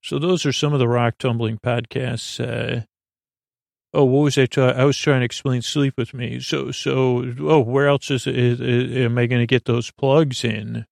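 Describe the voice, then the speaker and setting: A man hosting a sleep podcast in a halting, rambling monologue, full of stammers and false starts, with a deadpan whimsy. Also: medium pitch, creaky, no echo, studio quality. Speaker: podcast host.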